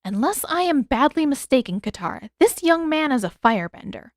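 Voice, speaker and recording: A woman voicing a stern older mother and master healer, measured and disapproving, in an audio story. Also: high-pitched, big pitch swings, dry recording, studio quality, pitched above the performer's own voice.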